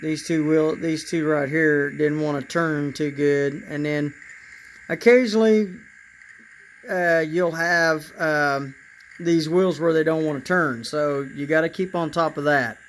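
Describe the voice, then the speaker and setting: A man with a raspy voice demonstrating his model trains in a casual, halting way, with many fillers and repeated words. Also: fairly high pitch, steady tone, slight background noise, low-quality recording. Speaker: model railroad hobbyist.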